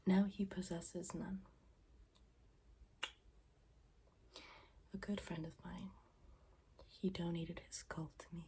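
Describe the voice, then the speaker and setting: A woman playing a morbid, deadpan schoolgirl in an ASMR roleplay, speaking softly and formally, often slipping into a whisper. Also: on the low side, slight background noise. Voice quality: soft, breathy, often dropping into whisper. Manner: deadpan, formal, deliberate wording.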